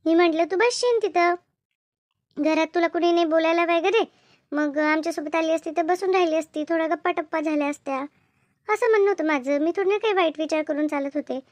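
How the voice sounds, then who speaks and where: Woman acting a very high-pitched chatty village woman. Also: a little fast, no echo. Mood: hurt, explaining. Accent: rural Maharashtrian Marathi. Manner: chatty, repetitive, rustic. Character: chatty village woman, bossy yet easily hurt, Marathi cartoon. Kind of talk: cartoon comedy dialogue.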